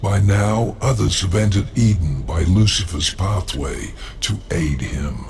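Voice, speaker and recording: Casting: calculating dark advisor, very low and slow, formal menace, fantasy game. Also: male voice, slight background noise. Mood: ominous, knowing. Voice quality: gravelly. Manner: measured, ominous, formal. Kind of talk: dramatic video game acting.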